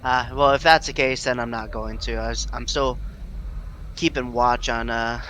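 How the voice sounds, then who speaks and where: A man plays a tabletop game and chats casually. His voice is bright, at an average pitch, and he feels his way through questions hesitantly. There is faint noise in the background. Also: steady tone, normal speed.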